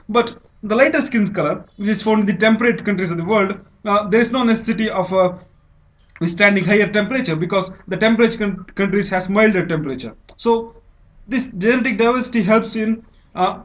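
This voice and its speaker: High-pitched Indian man giving a lecture. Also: fairly bright tone. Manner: didactic, repeats and restates phrases, frequent "okay" checks. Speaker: teacher, lecturer. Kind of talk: explanatory exam-prep lecture.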